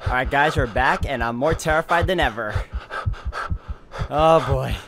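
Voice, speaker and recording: Guy doing a visual novel playthrough, mid pitch, bright punchy voice, reads it out dramatically. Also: normal speed, faint music behind. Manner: reads narration dramatically, reacts openly. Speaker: let's play video host. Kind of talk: gaming commentary, reading visual novel text aloud.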